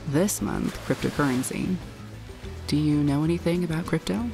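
Low-voiced flirtatious woman over music. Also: moderate pace, vocal fry. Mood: coaxing. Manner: teasing, coaxing. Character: flirtatious, confident, bold yacht-crew woman. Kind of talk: naturalistic audio drama acting.

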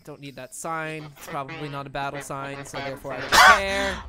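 A man's voice: mid-range voice, fairly quick, bright, forceful tone. A young man chatting casually over a voice call while playing a game, giggly and self-mocking. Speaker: gamer recording his play.

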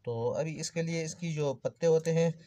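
A man's voice: fairly high pitch, moderate pace, dark tone. A man giving an informal hands-on repair tutorial, explaining things step by step and addressing viewers as friends. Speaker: electrical repair tutorial host. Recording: clearly noisy background with clicks.

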